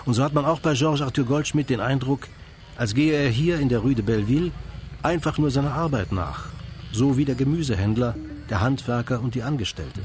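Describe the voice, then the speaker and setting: Measured male radio feature narrator. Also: medium pitch, a little mellow.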